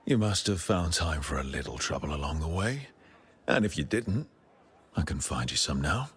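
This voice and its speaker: An actor playing a smooth, supportive male adventurer hero in a video game. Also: extremely low pitch, animated, normal speed, fairly bright tone, exaggerated voice. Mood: flirtatious.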